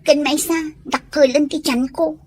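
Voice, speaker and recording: A woman's voice: high voice, steady tone, normal speed, darkish tone, natural voice. A woman playing an anxious, sulky young pregnant student girlfriend in an audio drama. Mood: worried, reproachful. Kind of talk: Ilocano audio drama acting.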